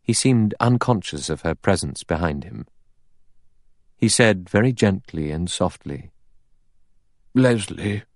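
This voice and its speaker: A man's voice: low voice, animated, a little slow, no echo, studio quality. A man reading a novel aloud as scripted literary narration, in the role of audiobook narrator.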